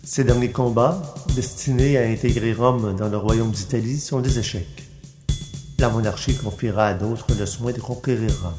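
A male podcast host reads a script aloud at a middling pitch. The recording is roomy and echoing, with clear music behind him. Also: moderate pace. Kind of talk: scripted narration, reading aloud.

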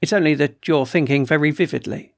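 A man reading aloud and voicing a calm, perceptive doctor who speaks quietly and evenly. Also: fairly high pitch, gravelly, no room sound, clean studio sound. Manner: quiet, measured.

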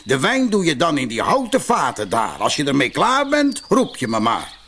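A man's voice: a little high, huge pitch swings, moderate pace, fairly bright tone. A man voicing a suspicious, mocking, commanding giant in a fairy tale. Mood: bargaining, commanding. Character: suspicious, mocking giant who bargains gruffly.

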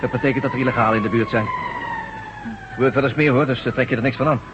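A man's voice: medium pitch, quick pace, heavy background noise. An actor playing a hospitable, streetwise man who takes charge of his household in a radio drama, speaking colloquially. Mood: casual, wary. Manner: animated, colloquial, take-charge. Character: hospitable, streetwise man turned bossy defender of home. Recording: dull lo-fi radio recording, music behind.

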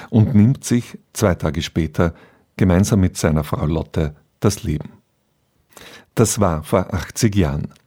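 A man's voice: low voice, dark tone. A man presenting a scripted radio introduction in a sober, factual manner.

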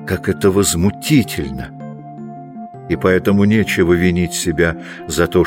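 A man narrating a dramatic literary audiobook with measured, deliberate phrasing. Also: low-pitched. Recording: music behind the voice.

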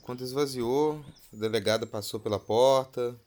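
A man's voice: medium pitch, normal speed, darkish tone, lightly acted. An actor playing a weary, fed-up Brazilian man in a naturalistic audio drama, grumbling in colloquial speech. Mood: weary explaining. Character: weary, fed-up man just back from police questioning.

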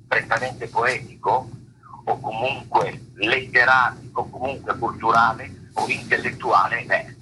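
A man giving unscripted interview answers as an actor, haltingly searching for words and rephrasing himself. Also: medium pitch, steady tone, noisy background. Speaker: stage actor, interview guest.

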